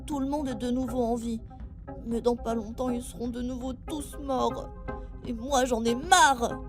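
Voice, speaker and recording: A woman's voice: on the high side, fairly even intonation, a little slow, music in the background, lightly acted. A woman playing an earnest, weary seven-year-old intern in an audio drama. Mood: weary, fed up.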